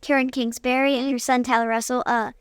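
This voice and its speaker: Young girl, very high pitch, smooth clear tone, halting casual answers, dry recording. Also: level tone, no room sound. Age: child. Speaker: young interview guest.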